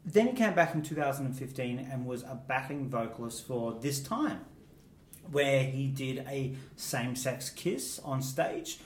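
A man's voice: medium pitch, expressive, unhurried, distant mic, a little hiss or hum. A man co-hosting a casual chat that reviews song contest entries, talking fondly and laughing often. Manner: chatty, laughs often, fond asides. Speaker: co-host. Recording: roomy echo, set back from the mic.

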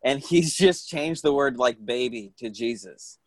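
A man in his late thirties talks casually with a co-host, poking sardonic fun and leaning on "like". His voice sits in an ordinary middle pitch. The sound is dry and close, with no room to it. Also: animated, unhurried, no echo. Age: 30s. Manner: joking, sardonic, lots of "like". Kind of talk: casual chat.

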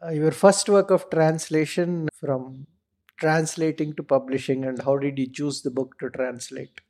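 A woman's voice: low voice, fairly even intonation, a little slow, darkish tone. A woman hosting a podcast asks plain, short leading questions of her guest. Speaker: podcast host. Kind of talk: interview questions.

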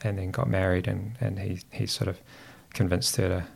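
A middle-aged man from New Zealand with a Kiwi accent, raised speaking Greek, chatting reflectively and haltingly as an interview guest. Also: low-pitched, flat delivery, creaky, breathy edge. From Christchurch, New Zealand. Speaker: podcast guest, founder. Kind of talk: casual reflective interview chat.

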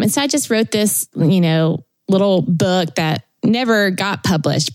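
A woman's voice: on the low side, a little mellow, no echo. A woman in her thirties from Tennessee, a podcast guest telling her story in a chatty, rambling way, laughing often between fillers. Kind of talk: casual interview storytelling.

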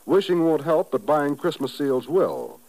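A man's voice: on the high side, big pitch swings, a little slow, darkish tone, poor audio. An American man reading a scripted public appeal as an announcer on 1950s radio. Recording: old radio recording.

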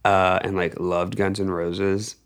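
A man's voice: sits low, little pitch movement, slow pace, a bit bright. A young American man from Texas, telling stories casually and informally as a musician guest, rambling and stressing key words. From Texas, United States. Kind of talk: casual storytelling in an interview.

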